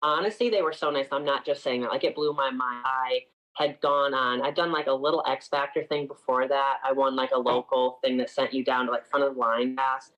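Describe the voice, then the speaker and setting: A man's voice: medium pitch, fairly even intonation, fairly quick, few pauses, a bit bright, lo-fi sound. A man in his twenties from upstate New York, a singer chatting casually as an interview guest and filling his talk with frequent "like"s.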